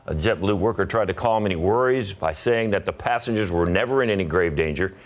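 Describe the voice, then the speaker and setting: A man with a low voice anchors a morning TV newscast. He speaks with an American accent and reads scripted stories in a newsreader's style. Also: brisk. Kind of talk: scripted TV news reading.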